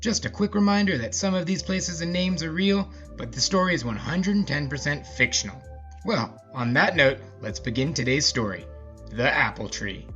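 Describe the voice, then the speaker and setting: A man narrates as a podcast storyteller, casual yet scripted. His mid-pitched voice is very lively in intonation. The sound is a little dull, with music underneath. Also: normal speed. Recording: slightly muffled, music behind.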